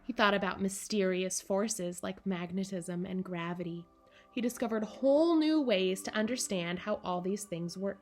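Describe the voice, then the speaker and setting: A woman reading a children's storybook aloud in an expressive, playful way, speaking directly to young listeners. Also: mid-range voice. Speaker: camp director reading a picture book to children. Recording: music behind the voice. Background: background music.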